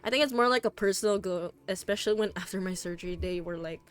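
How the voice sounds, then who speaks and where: Chatty young Filipina streamer. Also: female voice, mid-range voice, quick pace, clean tone, faint music behind. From Philippines. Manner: chatty and rambling, with frequent fillers, laughs and restarts. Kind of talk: casual stream chat.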